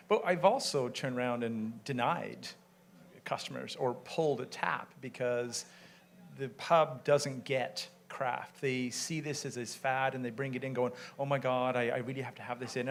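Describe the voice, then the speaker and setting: Man, brewery co-owner, gravelly voice, emphatic and passionate, panel mic, faint hum of the room. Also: medium pitch. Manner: emphatic, passionate, quotes conversations. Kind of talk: panel discussion answer. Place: event room with an audience of brewers. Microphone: microphone at a panel.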